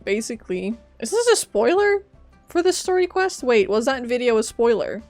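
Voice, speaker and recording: A woman with a fairly high voice gives casual playthrough commentary. Her reactions are offhand and chatty. Faint game music plays in the background. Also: very animated, moderate pace, a little mellow. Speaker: game streamer.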